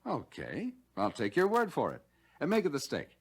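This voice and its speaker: A man's voice: a little high, huge pitch swings, moderate pace, breathy edge, exaggerated voice. A man playing an agreeable, curious American lunch counter customer in a scripted 1960 training film, musing as he goes.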